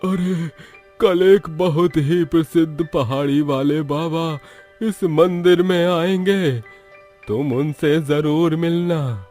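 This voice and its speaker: A man's voice: on the high side, a little mellow, faint music behind, voice pushed up. A man voicing a kindly, solemn old holy man in a cartoon story. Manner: slow, solemn, benevolent.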